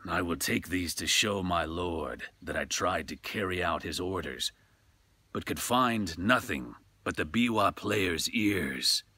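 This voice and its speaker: A man voicing a menacing, commanding ghostly samurai in a ghost story, with drawn-out calls. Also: deep voice, animated, pushed and bright, dry recording, full character voice. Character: menacing ghostly male samurai hunting his prey.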